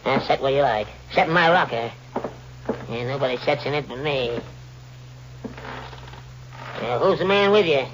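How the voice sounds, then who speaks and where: A man's voice: medium pitch, normal speed, pauses often, highs cut off, lightly acted. Man acting cranky old man, noisy vintage radio. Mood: grumpy. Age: older. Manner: grumbling, curt, repetitive "yeah". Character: cranky, dismissive old man living alone.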